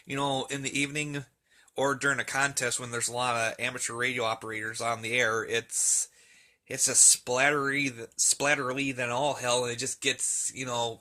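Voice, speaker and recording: A man's voice: medium pitch, pushed and bright. A man giving a casual, off-the-cuff video tour of a hobby collection, hesitant and searching for words, recorded indoors on a handheld camera's mic. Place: indoor room with shelves of radios. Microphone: handheld camera mic, behind the camera.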